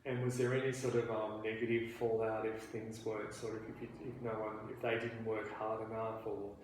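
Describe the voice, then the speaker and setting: A man's voice: on the low side, level tone. Man, counsellor in a role-play session, hesitant questioner, recorded in a very reverberant room. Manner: halting, many restarts and "you know" fillers, gently probing. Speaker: therapist in a training role play. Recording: very echoey room, faint background noise.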